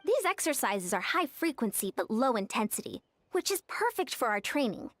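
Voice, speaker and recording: A woman's voice: on the high side, big pitch swings, moderate pace, fairly bright tone, no room sound, clean studio sound, faint music behind. A woman voicing a tough, upbeat female sports coach in a video game, breezy and self-assured. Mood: confident. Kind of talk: game dialogue.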